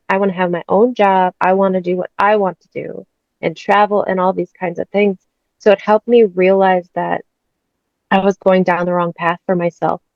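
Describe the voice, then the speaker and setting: A candid woman in her thirties tells her story in a relaxed, chatty way, with easy laughs in between. Her voice sits at a middling pitch. The recording is dry and a little dull, as if captured close on a modest mic. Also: no room sound. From United States. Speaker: guest. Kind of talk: personal story in an interview.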